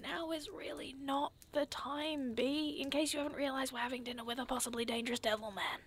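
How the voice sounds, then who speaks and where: A woman's voice: high-pitched, steady tone, a little fast, slight background noise. A woman playing a sarcastic, exasperated and wary young adventurer in an audio drama, with a blunt manner. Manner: sardonic, blunt.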